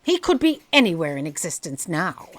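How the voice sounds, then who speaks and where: Woman acting bossy female official, animated pitch, worried, urgent, audio drama. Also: normal speed.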